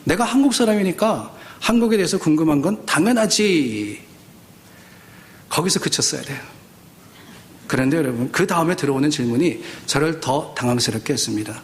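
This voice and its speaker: A man's voice: fairly high pitch, expressive, normal speed, a little hiss or hum. A middle-aged man from South Korea giving a sermon as a pastor, speaking conversationally and posing questions to his listeners. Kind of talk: Sunday sermon with personal anecdotes.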